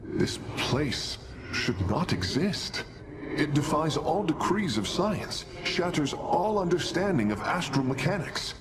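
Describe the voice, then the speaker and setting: A man's voice: a little high, big pitch swings, takes it slowly, very noisy. A man playing a humble, scholarly alien engineer in a science fiction game, speaking in a formal, precise, earnest way. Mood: baffled, awed.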